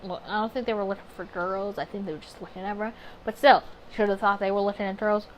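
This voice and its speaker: A woman with a mid-pitched voice reads a visual novel aloud as a let's play host. She slips between narration and chatty, candid asides. There is some background noise on the recording. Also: steady tone, fast, dull audio. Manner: chatty, candid side comments between read passages. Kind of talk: casual let's play, reading story text aloud with offhand reactions.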